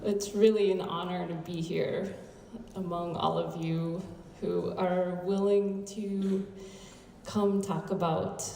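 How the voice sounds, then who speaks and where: An American woman in her late thirties gives a reflective conference speech, telling a story with gentle restarts. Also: on the low side, level tone, slow, gravelly. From United States. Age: late 30s. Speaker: invited conference speaker. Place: large conference hall. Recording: echoey hall, distant mic, faint background hum.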